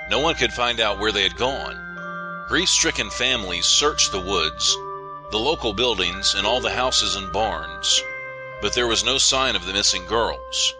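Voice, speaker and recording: A man's voice: fairly low pitch, rough recording. A man who hosts a podcast, reading a scripted horror story as its narrator. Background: music.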